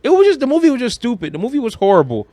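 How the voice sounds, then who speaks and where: A man with a notably high voice talks as a podcast co-host in casual film chat. He is blunt and animated, leans on slang and swearing, and the sound is dry and close. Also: rapid-fire, dry recording. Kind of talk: casual chat about films.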